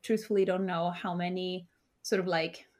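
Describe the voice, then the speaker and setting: A woman's voice: on the low side, steady tone, normal speed, darkish tone. Confident woman from Sweden in conversation. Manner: confident, uses "like" and "sort of" often. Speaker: guest, startup founder and CEO. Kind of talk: conversational interview.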